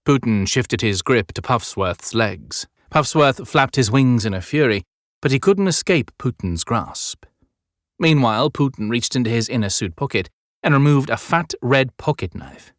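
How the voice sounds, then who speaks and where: A man's voice: medium pitch, expressive, normal speed, no room sound, studio quality. A man narrating an audiobook in a scripted, dramatic storytelling style.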